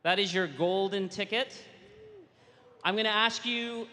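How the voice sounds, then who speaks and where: A man roaming the audience as co-host, bantering loudly and joking with attendees. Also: high voice, unhurried. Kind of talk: roaming crowd interaction. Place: large hall among a crowd. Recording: hall reverb with crowd noise.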